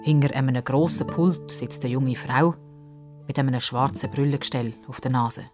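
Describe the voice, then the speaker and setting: A woman's voice: rumbling low, normal speed, music in the background. A woman narrating a story for children.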